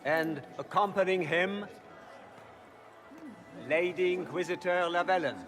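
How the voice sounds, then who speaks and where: An actor playing a pompous court herald, making loud, formal, declamatory proclamations in a fantasy game. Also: male voice, sits high, unhurried. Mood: grand, proclaiming. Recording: long hall reverb, music behind.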